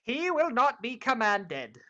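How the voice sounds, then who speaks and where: A woman's voice: on the high side, sing-song, takes it slowly, fairly bright tone, highs cut off, voice pushed up, exaggerated voice. A woman as an eerie incanting witch. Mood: commanding, ominous. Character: eerie witch brewing a potion. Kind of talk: 1940s radio play Shakespeare acting.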